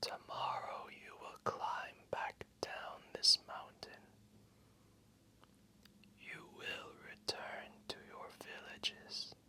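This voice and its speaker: A man voicing an ancient, wise and gentle old dragon in a gravelly, breathy voice, telling a bedtime story slowly and soothingly. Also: exaggerated voice. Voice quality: gravelly, breathy, often whispered. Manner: slow, solemn, soothing, deliberate. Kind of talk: slow bedtime storytelling, ASMR.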